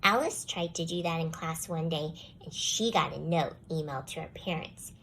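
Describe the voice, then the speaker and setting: A woman voicing a young schoolgirl telling a first-person storybook tale with expressive, put-upon delivery. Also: deep voice, normal speed, a little hiss or hum, rough recording. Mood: indignant. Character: jealous young schoolgirl, grudgingly softening toward the dog. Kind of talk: scripted children's storybook read-aloud.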